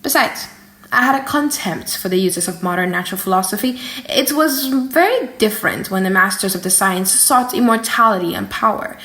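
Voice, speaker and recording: A woman reading an audiobook in a theatrical, expressive and slightly playful style. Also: mid-range voice, moderate pace. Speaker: audiobook reader. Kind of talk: dramatic, slightly silly audiobook narration. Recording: echoey room.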